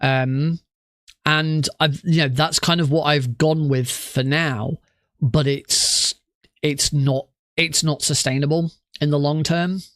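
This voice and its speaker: A man's voice: on the high side, fairly even intonation, takes it slowly, fairly bright tone, no room sound, studio quality. A man with a cough and a congested nose, chatting casually to stream viewers in a rambling way with many restarts. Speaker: streamer and marathon organiser.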